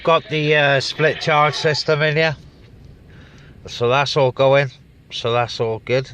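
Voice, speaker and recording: A man talks casually from inside his parked vehicle, stopping on fillers as he goes. His voice is bright and crisp. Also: mid-range voice, fairly even intonation. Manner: chatty, with many filler pauses. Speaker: CB radio operator. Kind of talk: casual radio chat and vlog talk.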